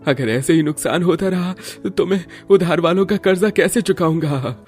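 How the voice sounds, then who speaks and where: A man voicing an earnest, higher-pitched worried young husband. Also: normal speed, a little mellow, soft background music, close to an everyday voice. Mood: dejected. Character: worried young husband and son, struggling food-cart seller. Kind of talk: Hindi cartoon story dialogue.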